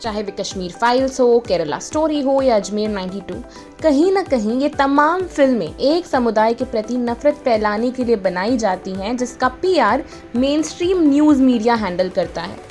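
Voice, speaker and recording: A woman's voice: on the high side, expressive, moderate pace, darkish tone, soft background music. A woman presenting a scripted video explainer in an earnest, emphatic way.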